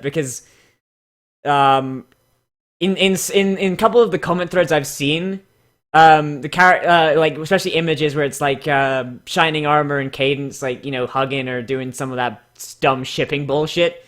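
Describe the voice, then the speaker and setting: A man hosting casual, mocking commentary and dramatic readings, crude, exclamatory and quick to swear. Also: fairly high pitch, a bit bright. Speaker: host reading fan characters aloud.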